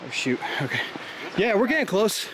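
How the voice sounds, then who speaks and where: Young man, out-of-breath hiking vlogger, chatty and joking, slightly high-pitched, handheld camera outdoors with clear background noise. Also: big pitch swings, moderate pace. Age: young adult. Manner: chatty, joking, rambling with fillers. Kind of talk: casual vlog while hiking. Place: wooded trail outdoors. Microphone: handheld camera at arm's length.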